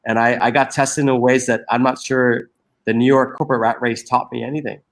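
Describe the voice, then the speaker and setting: A man's voice: medium pitch, level tone, a little fast. Earnest man with lots of restarts. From Egypt. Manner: earnest, many restarts and fillers. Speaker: guest, social entrepreneur. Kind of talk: reflective interview conversation.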